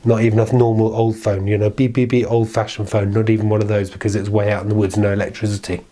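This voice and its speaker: A man's voice: lowish voice, flat delivery, quick pace, mellow. A man telling a children's story in an informal, improvised way, chatty and playful, with repeated phrases.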